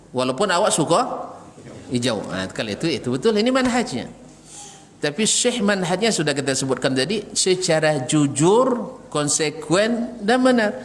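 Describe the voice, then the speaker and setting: Animated male preacher in an echoing hall. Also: a little high. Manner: animated, rhetorical questions, chuckles at his own examples. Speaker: Islamic preacher and religious teacher. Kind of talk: religious lecture to a congregation, with humorous asides. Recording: reverberant hall, some background noise.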